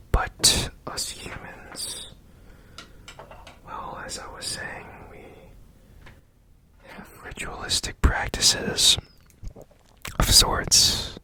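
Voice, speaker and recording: Guy acting as a weird secretive repair man, asmr roleplay, all breathy whisper, super slow and halting, curious and tender. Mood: curious, musing. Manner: slow, halting, hushed, lingering on phrases. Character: strange secretive repairman, curious and tender toward a broken robot.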